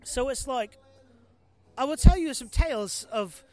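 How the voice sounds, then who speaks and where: A man's voice: very high voice, expressive, measured pace, a little mellow. A man from the American Midwest speaking with an affected-sounding English accent, telling stories in a rambling, repetitive, playful casual monologue. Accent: put-on sounding English. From Milwaukee, Wisconsin, United States.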